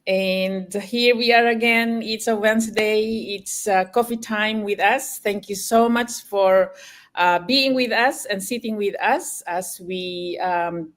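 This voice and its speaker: A woman's voice: mid-range voice, slow pace. An older woman, friendly and a bit hesitant, welcoming listeners to a podcast. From Canada. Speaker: podcast co-host.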